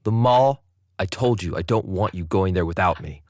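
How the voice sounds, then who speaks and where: A man's voice: low-pitched, normal speed, a little mellow, lightly acted. A man voicing a possessive, controlling husband who lectures his wife. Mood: insistent. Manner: insistent, lecturing.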